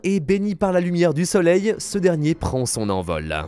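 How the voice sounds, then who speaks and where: A young man narrates a video essay. His voice sits fairly high and moves up and down a lot. The delivery is scripted but playful, slipping into casual asides. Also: normal speed. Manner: playful, expressive.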